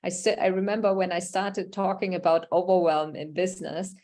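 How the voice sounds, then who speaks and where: A woman speaks over a video call, running an online workshop. Her voice sits on the lower side, and her delivery is conversational as she reads participants' answers aloud and responds to them. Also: little pitch movement, a little fast, darkish tone. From Germany. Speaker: workshop facilitator.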